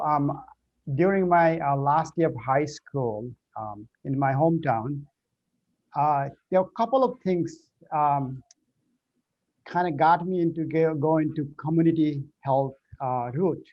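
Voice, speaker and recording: Man, nepali accent, hesitant, lots of fillers, middle pitch, muffled video call audio. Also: a little slow. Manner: hesitant, many fillers and restarts. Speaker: alumnus panelist, public health nurse. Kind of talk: virtual panel talk.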